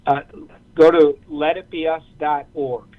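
A man from Massachusetts calls into a radio interview and tells his story in an earnest, halting way, stopping and restarting his sentences. His voice sits a little high for a man and comes through a thin, phone-like line. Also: flat delivery. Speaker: guest, nonprofit representative.

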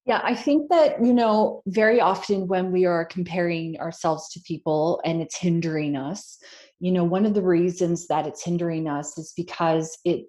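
A woman with a slightly low voice, speaking in an earnest, emphatic, conversational way. She leans on repetition and frequent fillers, building her point steadily as she chats with a co-host. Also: moderate pace, dark tone. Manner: earnest, emphatic, many "you know" fillers. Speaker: podcast co-host. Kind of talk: casual conversational chat.

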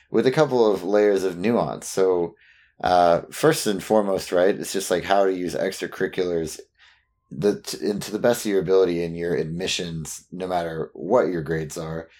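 Deep-voiced man hosting a podcast. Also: normal speed, a little mellow. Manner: upbeat, casual. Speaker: podcast host.

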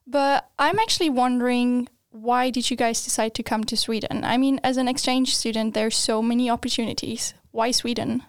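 A young woman in her twenties hosting a student podcast, upbeat and quick to laugh. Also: a little high, fairly even intonation, clean tone, dry recording, clean studio sound. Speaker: podcast host. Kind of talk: friendly podcast interview.

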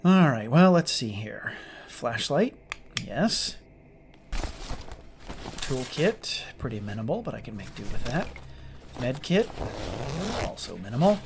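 A man playing a rattled, sarcastic starship chief engineer who mutters haltingly to himself in an audio drama. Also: mid-range voice, very animated, slight background noise. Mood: dazed, wry. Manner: halting, self-talking, wry.

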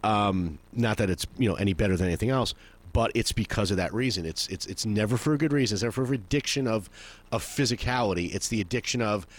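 Fast-talking profane man in his forties. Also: on the low side. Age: 40s. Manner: rambling, profane, acts out quoted voices. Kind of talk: casual chat.

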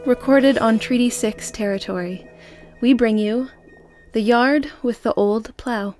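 A woman's voice: fairly high pitch, unhurried, a little mellow. A woman reading a scripted programme introduction as an announcer. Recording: music behind the voice.

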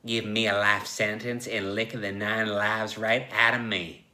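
A man's voice: lowish voice, little pitch movement, unhurried, sharp tone. A man playing a brash, demanding, foul-mouthed prisoner turned tiger in a comic story reading. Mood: lustful, teasing. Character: brash, impatient male prisoner turned lustful giant tiger.

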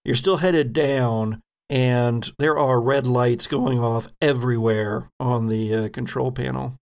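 A man serves as game master, improvising scene descriptions for a tabletop game. His pace is slow and unhurried, and his voice has a gravelly fry to it. He builds each description haltingly, piece by piece. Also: mid-range voice, fairly even intonation.

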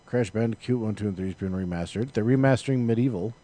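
A man's voice: on the low side, quick pace, dark tone. A man, perhaps in his thirties, chatting informally about video games as a co-host, with plenty of profanity.

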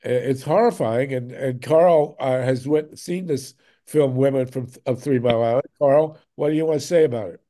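A man's voice: medium pitch, moderate pace, mellow. Emphatic man hosting a talk show. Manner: emphatic, repeats himself when moved. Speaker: host. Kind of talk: casual talk show chat over video call. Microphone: video call.

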